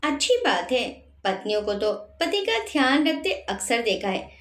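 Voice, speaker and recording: A woman doing a calm young male doctor. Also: a little high, moderate pace. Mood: explaining. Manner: matter-of-fact, smiling. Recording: roomy echo.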